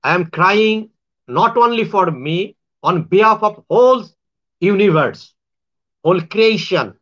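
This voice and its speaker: Man, Bangladeshi accent, high-pitched voice, halting and repetitive, muffled lo-fi audio. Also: lively intonation, fairly bright tone. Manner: halting, repetitive phrasing with frequent restarts. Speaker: astrologer and self-styled spiritual speaker. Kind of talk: monologue to viewers.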